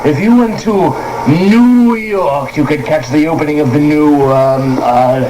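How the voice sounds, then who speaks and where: A man's voice: a little high, unhurried, lightly acted. A man playing a hearty, pompous, scheming spy chief in a comedy radio play taped on cassette. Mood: hearty, coaxing. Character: smooth-talking, scheming male spy chief. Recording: noisy old cassette tape recording.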